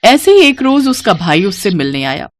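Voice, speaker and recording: A woman's voice: medium pitch, very animated, normal speed. A woman reading a scripted moral story as its narrator.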